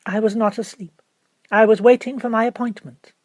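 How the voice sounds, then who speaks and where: Guy doing a posh lady witness, higher voice, calm and firm, short plain answers, audiobook. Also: normal speed, dry recording, close to an everyday voice. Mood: matter-of-fact. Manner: plain, terse, unyielding answers. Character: composed, firm aristocratic lady witness in court. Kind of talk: audiobook character voice.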